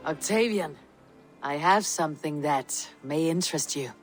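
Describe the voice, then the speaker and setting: An actress playing a stoic, proud Norse warrior woman in a video game, speaking in a terse, firm, measured way. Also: low voice, soft background music. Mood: calm, resolute.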